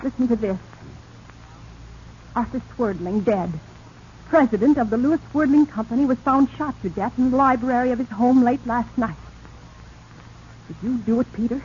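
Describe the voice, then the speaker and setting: An actress playing an anxious, urgent wife in a 1940s radio drama. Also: female voice, a little high, normal speed, long pauses, a little mellow, close to an everyday voice. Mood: accusing, alarmed. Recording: noisy, dull 1940s radio recording.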